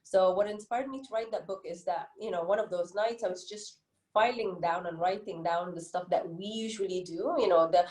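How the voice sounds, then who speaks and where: Mellow Egyptian-accented woman in echoey room. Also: fairly low pitch, moderate pace. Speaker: interviewed children's book author. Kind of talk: conversational interview answers. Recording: roomy, echoey sound.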